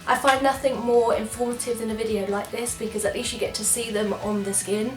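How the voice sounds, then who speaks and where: A woman's voice: fairly high pitch, steady tone, fairly quick, reverberant, music in the background, rough recording. A young English woman with a stuffy, congested voice from a cold, chatting enthusiastically as a beauty vlogger trying on products. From England. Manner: chatty, enthusiastic, apologetic asides. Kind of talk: casual product try-on chat.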